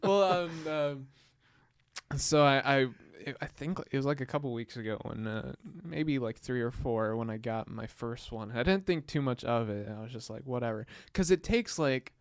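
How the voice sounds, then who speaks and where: A man co-hosting a casual podcast, joking around and talking in halting bursts full of fillers. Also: mid-range voice, smooth, no room sound, clean studio sound. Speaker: podcast co-host. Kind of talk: casual chat.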